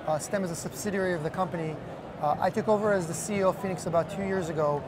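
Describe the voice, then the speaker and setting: A man's voice: high-pitched, very fast. A man in his thirties explaining a product as an executive being interviewed at a busy trade show booth. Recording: loud busy trade show floor noise.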